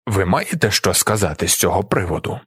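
Presiding judge, male, played by a man narrator: stern, measured, formal, low and husky. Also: big pitch swings, a bit bright, no echo, studio quality, exaggerated voice. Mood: stern, official. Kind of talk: dramatized audiobook character.

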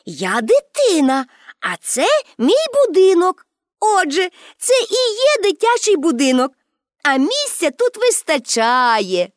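A woman's voice: very high-pitched, sing-song, normal speed, dry recording, studio quality, pitched above the performer's own voice. A woman voicing a carefree, cheeky nine-year-old girl in a storybook, proud and playful in manner. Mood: cheerful, proud. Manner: playful, breezy, emphatic.

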